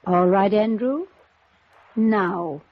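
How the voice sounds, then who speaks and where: An actress playing a wealthy, genteel and scheming woman in a 1950s radio crime drama, with a coaxing, deliberate manner. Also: medium pitch, very noisy. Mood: coolly commanding. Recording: muffled vintage radio recording.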